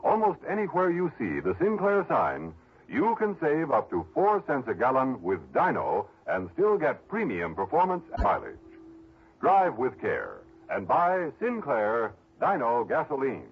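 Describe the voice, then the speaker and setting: A man's voice: medium pitch, huge pitch swings, a little slow, slight background noise. A man working as a 1960s radio announcer, reading scripted copy. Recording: dull vintage radio broadcast recording.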